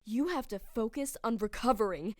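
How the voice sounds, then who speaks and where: A woman's voice: fairly high pitch, lively intonation, normal speed, darkish tone, no room sound, clean studio sound, natural voice. An actress playing a protective young woman in an audio drama, emotional, worried and reproachful. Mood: scolding.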